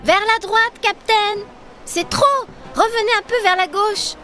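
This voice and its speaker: A woman's voice: extremely high pitch, fairly even intonation, unhurried, pushed and bright, full character voice. A woman voicing a brave, cheerful young girl adventurer in a cartoon dub, upbeat and encouraging. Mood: excited. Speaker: dubbing actress.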